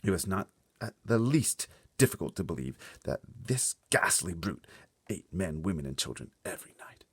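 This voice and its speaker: A man's voice: deep voice, big pitch swings, normal speed, slightly rough, no echo, studio quality. A man reading a children's story aloud expressively, with dramatic delivery and brief playful asides. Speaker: story reader.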